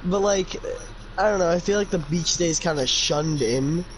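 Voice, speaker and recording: A man's voice: on the high side, normal speed, slight background noise, low-quality recording. A man doing a casual amateur fanfiction reading, with informal, opinionated commentary. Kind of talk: casual amateur read-aloud with chatty commentary.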